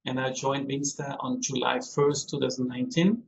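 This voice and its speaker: A man's voice: medium pitch, little pitch movement, moderate pace, creaky. Austrian-raised man in his fifties, chatty guest on a remote call, slightly dull audio with faint noise. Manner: chatty, with some non-native phrasing. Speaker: hotel general manager on a cruise ship. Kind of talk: casual interview answers. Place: on board a ship, remote call.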